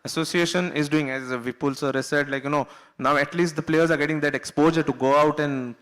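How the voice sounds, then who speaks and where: A man's voice: on the high side, fairly even intonation, a little fast, a bit bright. A man with an Indian English accent, a former cricketer from Goa, recalling his career on a panel in an earnest, chatty way. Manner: earnest, uses filler "you know", laughs while telling stories. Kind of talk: panel discussion anecdote. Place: conference hall.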